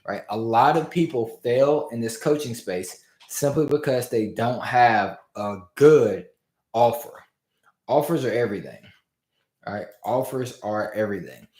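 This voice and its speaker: A man's voice: mid-range voice, normal speed. Hyped man coaching live in roomy echo. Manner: energetic and informal, addresses viewers as "y'all" and "fam". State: strained voice after losing it. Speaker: business coach hosting a livestream. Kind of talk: casual motivational livestream talk.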